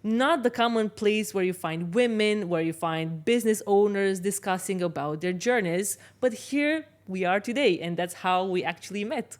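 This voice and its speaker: A woman's voice: mid-range voice, moderate pace, few pauses. A middle-aged woman from Romania, telling her own story confidently as an interview guest. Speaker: interview guest, business owner. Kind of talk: conversational personal storytelling.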